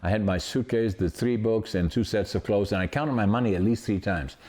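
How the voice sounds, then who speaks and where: A man's voice: on the low side, a little fast, a little mellow. A man in his eighties from the Netherlands with a Dutch accent, hosting a conversational interview and telling stories in a rambling, emphatic, positive way. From Netherlands, northeastern Groningen province. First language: Dutch dialect of Groningen. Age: 80s. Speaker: podcast host.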